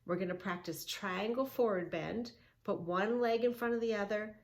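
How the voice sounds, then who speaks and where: A woman hosting a children's yoga read-along, teaching in an emphatic, sing-song way with drawn-out key words and questions. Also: mid-range voice. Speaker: children's yoga story-time host. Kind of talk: read-along lesson for young children, narrating and instructing.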